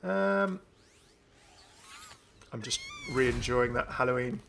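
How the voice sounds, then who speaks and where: A man's voice: mid-range voice, expressive, takes it slowly, vocal fry, fairly bright tone, a little hiss or hum. A young man giving casual, enthusiastic commentary on a video game. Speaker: gaming video host.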